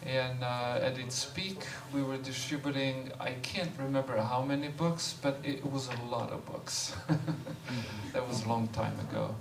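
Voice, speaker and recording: A man in his fifties, a visiting monk, speaking in a relaxed, unhurried way with light laughs as he introduces himself in a temple hall. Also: mid-range voice, creaky. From Croatia. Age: 50s. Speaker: visiting monk giving a talk. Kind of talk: informal talk, introducing himself. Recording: echoey room, voice far from mic, background noise.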